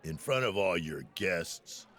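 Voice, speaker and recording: A man playing a large, arrogant orc gang leader, slow and threatening, with a gravelly voice, in an audio drama. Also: low-pitched, animated, a bit bright, some chatter behind, full character voice. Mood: menacing. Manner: slow, threatening, mock-polite.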